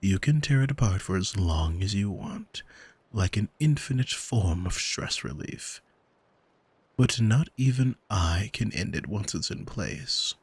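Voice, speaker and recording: A man playing an arrogant demon in an ASMR audio drama, smug and teasing, speaking deliberately and intimately to the listener with a husky voice, close on the microphone. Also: lowish voice, lively intonation, no room sound, full character voice. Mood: amused, mocking. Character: arrogant male demon who owns a soul, smug, taunting. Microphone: close studio microphone, dry.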